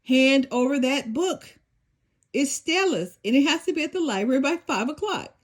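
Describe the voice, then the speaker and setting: Woman playing a high-voiced, emphatic scout leader. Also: lively intonation, moderate pace. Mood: urgent shouting. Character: weary scout leader on a nature walk, well-meaning.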